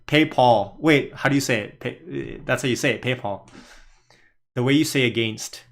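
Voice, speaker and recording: A man's voice: mid-range voice, moderate pace, fairly bright tone. A young man from Canada hosting a casual live stream on the stock market, chatting and laughing as he reads viewer comments aloud. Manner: chatty, laughs while reading chat aloud. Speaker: livestream host and day trader.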